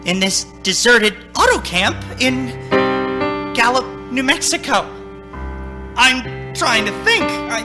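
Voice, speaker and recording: An actor in a radio play playing a frightened young man who narrates his ordeal as he unravels, intense and halting. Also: very high voice, exaggerated voice. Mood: near breakdown. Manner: intense, haunted, halting under strain. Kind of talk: dramatic radio play acting.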